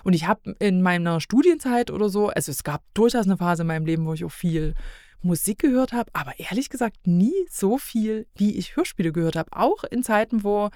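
A woman's voice: medium pitch, animated, brisk, darkish tone, no room sound, clean studio sound. A middle-aged woman from East Germany chatting casually as a podcast guest, talking emphatically and rambling as she corrects herself. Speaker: podcast guest, self-employed illustrator.

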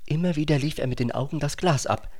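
A man's voice: a little high, fast. A man reading scripted literary narration as a narrator in a German radio play.

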